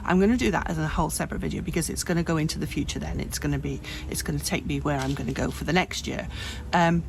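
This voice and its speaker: Woman, 58, candid vlogger, raspy fry in the voice, rambling with restarts, noisy room sound. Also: low-pitched, fast talker. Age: 50s. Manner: rambling, frequent restarts and self-corrections. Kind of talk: candid personal vlog. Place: home, hot room.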